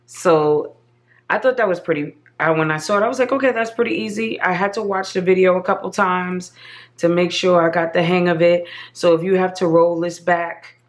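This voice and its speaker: A slightly hoarse woman hosting a casual crafting tutorial, chatting viewers through each step as she works. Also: fairly low pitch, steady tone, normal speed. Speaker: craft channel host.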